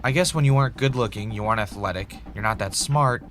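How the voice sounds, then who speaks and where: Low-voiced actor as a bitter podcaster, noisy backdrop. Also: male voice, fast talker, fairly bright tone, lightly acted. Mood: wry, reflective. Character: bitter, self-justifying former reality show winner turned podcaster. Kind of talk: confessional first-person scripted audio drama monologue.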